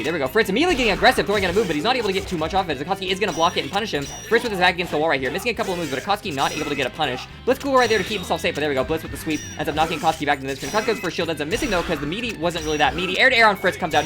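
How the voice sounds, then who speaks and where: Woman commentator, breakneck casual play-by-play, filler-heavy, game music underneath. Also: medium pitch. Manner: rapid excitable play-by-play, many "like" and "uh" fillers. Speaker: tournament commentator. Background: game music playing.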